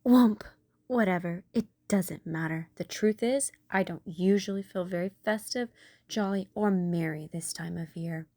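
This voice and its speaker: A woman voicing an anxious, self-doubting cool bean in a children's storybook, telling the tale with dramatic, expressive delivery. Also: fairly low pitch, unhurried, no echo, studio quality. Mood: glum, reluctant. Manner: dramatic, animated, sighing.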